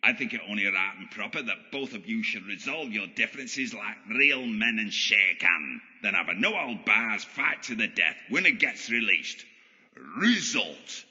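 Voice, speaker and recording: Crazed Yorkshire supervillain, very sharp forceful voice, sneering, grandiose, long hall reverb, audio drama. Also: male voice, on the low side, animated, moderate pace, muffled recording. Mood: gloating, mocking. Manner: sneering, mock-genteel, foul-mouthed. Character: deranged gloating Yorkshire villain with delusions of godhood. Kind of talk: theatrical villain monologue in audio drama.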